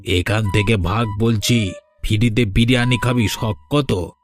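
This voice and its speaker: A man's voice: lowish voice, measured pace, soft background music, natural voice. A man playing a grumpy, defensive street food seller who scolds and grumbles in a cartoon folk tale. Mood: scornful.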